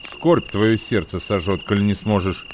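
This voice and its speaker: A man performing a solemn, measured dramatic recitation of old proverbs as a radio actor. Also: on the low side, background noise. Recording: music behind the voice. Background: music.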